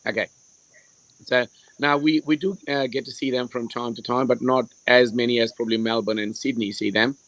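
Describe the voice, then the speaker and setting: A hoarse man, a surgeon, speaking on a panel over a video call, hesitant and full of fillers. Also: medium pitch, steady tone, brisk, a bit bright, no room sound, rough recording. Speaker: hair restoration surgeon, panelist. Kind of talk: live stream panel discussion.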